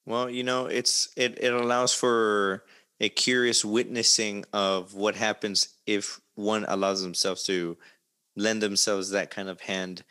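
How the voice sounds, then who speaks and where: Low, bright-voiced male interviewer. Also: flat delivery, measured pace, smooth. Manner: wordy, reflective, roundabout questioning. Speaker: interview host. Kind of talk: conversational long-form interview.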